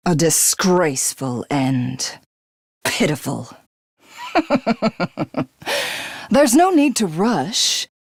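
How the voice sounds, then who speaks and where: A voice actress playing a haughty, cruel would-be goddess, a grandiose and theatrical video game villain. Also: female voice, fairly low pitch, wildly swooping pitch, slightly rough, no echo, clean studio sound, heavily acted. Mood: smug, menacing. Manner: grandiose, slow, archaic, menacing delivery.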